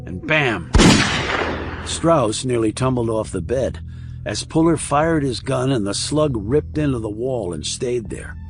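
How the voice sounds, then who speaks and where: A man's voice: medium pitch, lively intonation, unhurried, over music. A man reading a thriller audiobook as scripted dramatic narration.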